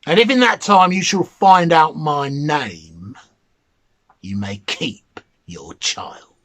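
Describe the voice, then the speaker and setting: A man voicing a gloating, spiteful little mannikin in a storybook reading, with slow, drawn-out, menacing delivery. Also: a little high, animated, fairly bright tone, exaggerated voice. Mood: sly, bargaining.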